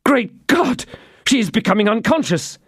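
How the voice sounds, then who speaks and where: A passionate young swordsman crying out in anguish, his voice pushed high and strained, as an audiobook narrator performs him. His pitch swings widely and his delivery stays urgent. The sound is dry and close. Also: very animated, moderate pace, dry recording.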